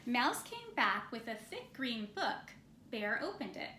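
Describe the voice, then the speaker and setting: Woman reading a kids book, slow pace, higher voice, echoey room. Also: sing-song, sharp tone. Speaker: storybook reader. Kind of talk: scripted children's story narration. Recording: roomy echo, faint background noise.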